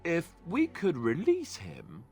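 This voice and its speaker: A man voicing a polite, wry warrior companion with a measured, tentative manner in a fantasy game. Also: a little high, huge pitch swings, gravelly, a little mellow, faint music behind, heavily acted. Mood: tentative, reasoning.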